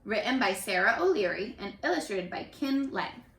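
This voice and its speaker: A woman teacher leading an interactive read-aloud lesson for young children, animated and encouraging, often pausing to ask questions. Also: medium pitch, slight background noise. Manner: animated, encouraging, asks questions and pauses for answers. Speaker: primary school teacher. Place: living room at home. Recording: roomy home echo.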